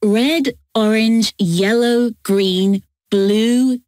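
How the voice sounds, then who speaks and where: A woman narrating textbook audio in a slow, clear reading. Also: on the high side, a little mellow, dry recording, clean studio sound.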